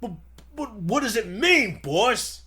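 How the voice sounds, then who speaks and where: A man's voice: sits high, big pitch swings, takes it slowly, pushed and bright, exaggerated voice. A man voicing a dim, obedient gangster henchman with slangy, deferential thug talk in 1940s radio style. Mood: puzzled.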